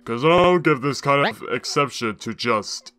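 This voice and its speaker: Swooping, taunting skeleton destroyer. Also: male voice, mid-range voice, animated, normal speed, sharp tone, soft background music. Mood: sneering, smug. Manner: drawling, mocking, chuckling delivery. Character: taunting male glitchy skeleton destroyer, mocking, smug, unhinged. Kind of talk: theatrical comic dub acting.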